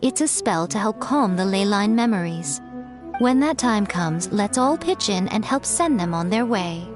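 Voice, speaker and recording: A woman's voice: medium pitch, expressive, measured pace, natural voice. A woman playing a sly, teasing fox-spirit priestess. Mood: instructive. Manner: playful and coy, smoothly commanding. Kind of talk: video game voice acting. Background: game music.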